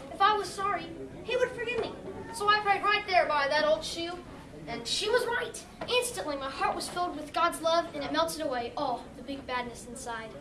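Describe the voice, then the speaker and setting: A woman playing a reformed, earnest Big Bad Wolf telling its story theatrically in a stage comedy. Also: extremely high pitch. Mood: earnest, amazed. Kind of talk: youth stage comedy acting. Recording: echoey hall from far off, audience noise.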